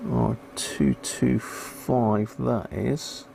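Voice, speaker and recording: British bloke doing a live repair stream, gravelly voice, muttering to himself as he works, bit of background noise. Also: mid-range voice, fairly even intonation, drawn-out words, dark tone. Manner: muttering to himself while working, thinking aloud. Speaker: livestream host, radio repair hobbyist. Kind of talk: casual live repair commentary.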